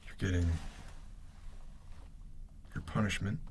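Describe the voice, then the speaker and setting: A man voicing a smug, menacing gang leader in a soft, intimate ASMR roleplay, speaking low and haltingly with mock kindness. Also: fairly even intonation, slight background noise. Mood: smug menace. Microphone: close ear-to-ear ASMR microphone.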